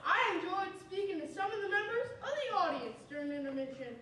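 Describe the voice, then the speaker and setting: A woman's voice: very high voice, sing-song, a little fast, bright, forceful tone, exaggerated voice. A young woman playing a genial, jokey male host of an old-time cowboy radio variety show on stage. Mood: folksy, jovial. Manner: folksy showman patter. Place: theatre stage before an audience. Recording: echoey stage from far off, audience noise.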